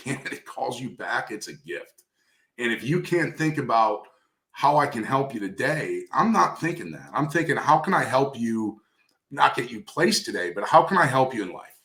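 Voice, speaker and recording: Guy giving career advice in an interview, emphatic, repeats stuff for stress, mid pitch, echoey room. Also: sharp tone. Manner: emphatic, coaching, acts out example dialogue, repeats key phrases for stress. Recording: roomy, echoey sound.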